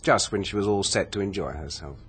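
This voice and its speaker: An actor playing a shifty, money-hungry nephew with an English accent, breezy, casual and evasive in a radio drama. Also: male voice, deep voice, brisk, husky. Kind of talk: radio mystery drama acting.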